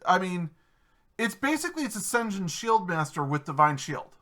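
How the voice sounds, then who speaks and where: A man with a high-pitched, bright, forward voice hosts a casual gaming chat. He rambles through fillers and restarts and keeps it loose and friendly over a desktop mic. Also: big pitch swings, normal speed. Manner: chatty, self-interrupting with many fillers. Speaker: podcast host.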